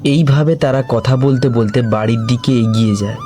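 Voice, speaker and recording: A man narrates a cartoon ghost story in a slow, deliberate way. His voice is dark and mellow at a middle pitch, with faint music beneath it. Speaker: narrator and channel host.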